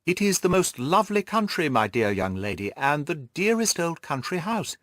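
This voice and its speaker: A man voicing a jovial, effusive, stout middle-aged gentleman in an audiobook, exclaiming and repeating his words genially. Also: a little high, big pitch swings, moderate pace, dry recording, clean studio sound, voice pushed up. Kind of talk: audiobook character voice.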